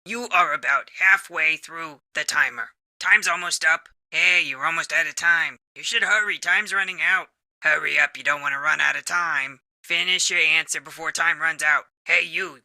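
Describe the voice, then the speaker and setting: A man voicing a jaded, grumpy office machine as a video game announcer, with a deadpan, grudging delivery. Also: on the high side, normal speed, vocal fry, heavily acted. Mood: insistent. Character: jaded, grumpy male talking office machine, bored employee. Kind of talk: scripted comedic game announcements.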